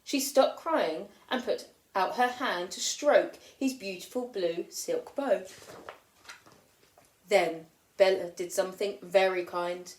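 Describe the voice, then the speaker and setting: A woman teacher reading a children's picture book aloud with expressive storytelling, lifting her voice for the children's lines. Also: mid-range voice, slow. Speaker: primary school teacher. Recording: roomy echo.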